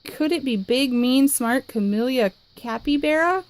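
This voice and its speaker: A woman reading a children's picture book aloud in an expressive, lively way. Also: high voice. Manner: expressive, lively, dramatic pauses. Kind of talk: storybook read-aloud.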